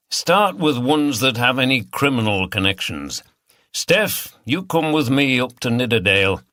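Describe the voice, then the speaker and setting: A man voicing a thoughtful, brisk senior police detective in an audiobook, measured and authoritative. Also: medium pitch, bright, forceful tone, no room sound, clean studio sound.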